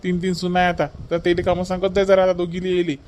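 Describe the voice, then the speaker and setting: A man's voice: high voice, completely flat delivery, fast, a little mellow, slight background noise, close to an everyday voice. A man voicing a breezy young married son in a cartoon family comedy, insistent and reassuring, with a Vidarbha Marathi accent. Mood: caring.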